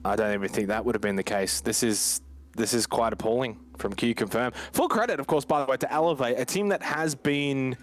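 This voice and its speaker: A man's voice: mid-range voice, fairly quick. A man giving live esports commentary with banter, blunt and critical in the way he talks. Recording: clear game gunfire behind the voice. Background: game gunfire and clicks.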